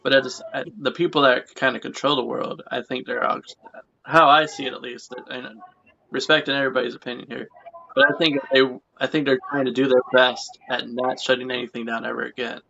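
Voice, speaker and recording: Gravelly-voiced young man hosting a chat. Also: medium pitch, little pitch movement, fairly quick, bright, forceful tone, a little hiss or hum. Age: late 20s. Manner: rambling, repeats phrases. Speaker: podcast host. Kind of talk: casual interview chat. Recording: muffled lo-fi audio.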